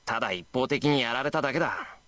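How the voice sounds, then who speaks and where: A man's voice: mid-range voice, animated, rapid-fire, bright, forceful tone, dry recording. A man voicing an earnest, blunt teenage boy who is an amateur magus in a Japanese visual novel. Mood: defensive.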